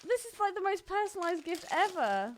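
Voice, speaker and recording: A woman co-hosting a podcast in casual banter, excitable, blunt and quick to swear. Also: very high voice, slight background noise. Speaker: podcast co-host.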